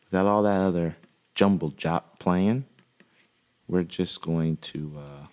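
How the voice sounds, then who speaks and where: A man with a deep voice teaching music production in a relaxed, folksy way, with many "uh" fillers. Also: takes it slowly. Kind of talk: casual software tutorial.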